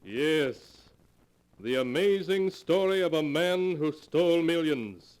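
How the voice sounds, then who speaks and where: A man's voice: on the high side, expressive, slow pace, darkish tone, clear, resonant tone. A man narrating as a 1940s radio horror announcer, solemn and theatrical, reading a script on a noisy vintage recording.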